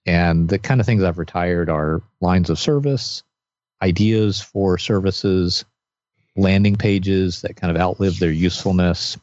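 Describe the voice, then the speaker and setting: Podcast co-host: man, very low voice, easygoing and rambling. Dry, slightly dull recording. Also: measured pace, dry recording. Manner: relaxed, rambling recommendations with restarts. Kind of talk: casual podcast chat.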